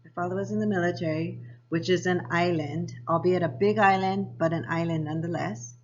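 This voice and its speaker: A woman of around 60, raised in Japan and Hawaii, narrating her own life story for a vlog in a measured, read-aloud way. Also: on the low side, fairly even intonation, lo-fi sound. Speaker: vlogger. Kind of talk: scripted personal narration.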